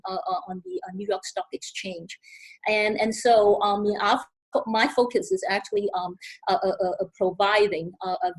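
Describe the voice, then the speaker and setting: A middle-aged woman from Hong Kong with a Hong Kong accent, speaking on a webinar panel, halting with many fillers and repeated words. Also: mid-range voice, poor audio.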